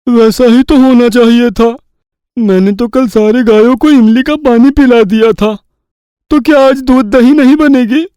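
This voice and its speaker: Gullible teenage village boy for a cartoon fairy tale male actor: earnest, unhurried, mellow. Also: very high-pitched, clean tone, dry recording, clean studio sound. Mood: puzzled. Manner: naive, slow-witted, earnest. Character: gullible simple-minded eighteen-year-old village lad, eager to please.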